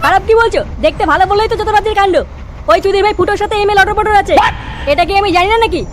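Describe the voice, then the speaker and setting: A man voicing an indignant, blunt young bridegroom in a comedy cartoon, with a pitched-up, whiny delivery. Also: very fast, soft background music. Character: petulant, outspoken young bridegroom rejecting a match. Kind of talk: exaggerated comedy cartoon acting. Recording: noisy track with street and vehicle ambience.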